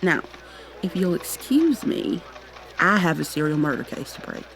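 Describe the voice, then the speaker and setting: A woman's voice: low-pitched, very animated, normal speed, vocal fry, fairly bright tone, soft background music, heavily acted, low-quality recording. A woman playing a proud, exasperated goo girl special agent with prim, formal wording in an ASMR roleplay. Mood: indignant. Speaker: voice actor in an audio roleplay.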